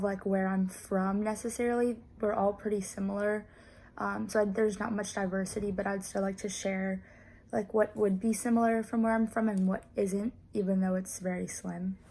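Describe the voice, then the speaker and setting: A woman's voice: mid-range voice, level tone, normal speed, warm tone, low-quality recording. A nineteen-year-old woman casually explaining a class project, with lots of filler words. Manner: rambling, heavy use of "like" fillers. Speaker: student presenting a class project.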